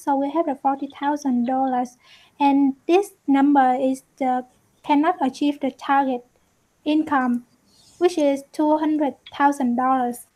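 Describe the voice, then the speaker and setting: A woman from Vietnam presenting in a class, hesitating with many fillers. Her voice is very high. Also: darkish tone, poor audio. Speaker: student presenter.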